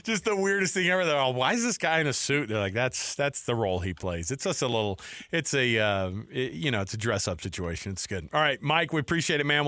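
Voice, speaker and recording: Bright-voiced man hosting sports radio. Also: medium pitch, clear, resonant tone, no room sound, clean studio sound. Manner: joking, riffing, rambling. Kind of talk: casual sports talk radio chat.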